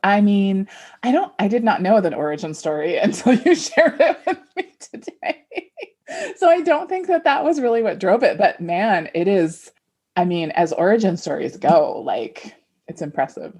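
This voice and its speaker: A middle-aged woman co-hosting a podcast in casual chat, with a husky voice and an easy readiness to laugh. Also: mid-range voice, animated, moderate pace, a little mellow. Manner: laughs readily while talking. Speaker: podcast co-host.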